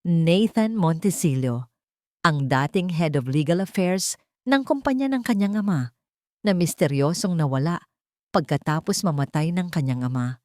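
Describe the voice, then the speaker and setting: Female Filipino audiobook narrator, lower register, dry studio sound, dramatic delivery for serialized fiction. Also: animated, moderate pace, a little mellow, no echo. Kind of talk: dramatic scripted story narration.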